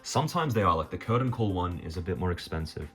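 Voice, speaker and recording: A man's voice: sits low, sing-song, quick pace, fairly bright tone. A man with an Australian accent talking casually and off the cuff to viewers as he presents a collection, rambling a little with hesitant asides. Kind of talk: casual unscripted show-and-tell to viewers.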